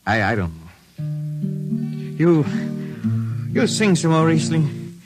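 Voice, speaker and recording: An actor playing a forlorn spaceman drinking companion in a radio drama, with halting, simple phrasing. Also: a little high, huge pitch swings, full character voice. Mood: forlorn, drunken. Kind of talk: 1950s radio drama acting. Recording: vintage 1950s radio recording with guitar music.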